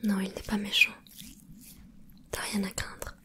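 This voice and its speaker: A woman voicing a gentle, caring mermaid in an ASMR roleplay, whispering softly and reassuringly with a curious, playful touch. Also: medium pitch, monotone, natural voice. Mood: soothing whisper. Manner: soft whispering, slow and soothing. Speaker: ASMR creator.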